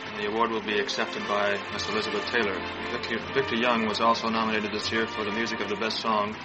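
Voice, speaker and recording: A man's voice: lowish voice, little pitch movement, fast talker, rough recording. A man making formal broadcast announcements at an awards ceremony. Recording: vintage broadcast under loud music. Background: loud music.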